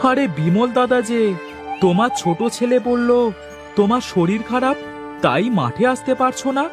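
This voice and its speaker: A man's voice: very high-pitched, expressive, moderate pace, over music. A man voicing a nosy, outspoken village neighbour in a cartoon. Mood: chatty, advising. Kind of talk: Bengali cartoon dialogue.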